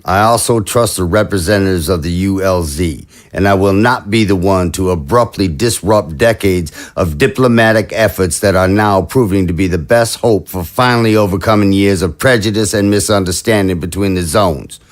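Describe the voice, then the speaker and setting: A man voices a measured leader in an audio drama. He hears out his advisers and then issues orders with calm authority. His voice sits fairly low. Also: close to an everyday voice. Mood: commanding. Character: measured male leader, commanding and diplomatic. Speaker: head of state giving orders.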